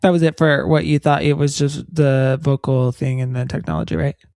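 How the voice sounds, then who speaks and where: A woman's voice: a bass voice, sing-song, brisk, darkish tone, no room sound, clean studio sound. A woman co-hosting a podcast, chatting casually and explaining things with examples. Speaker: podcast co-host. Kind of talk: casual chat. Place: recorded in Texas.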